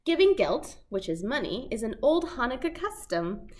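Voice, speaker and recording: A young woman hosting a children's storytime, reading a picture book aloud in simple, deliberate phrases for toddlers. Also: on the high side, big pitch swings. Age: young adult. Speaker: children's library storytime host. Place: small indoor set with fabric backdrop.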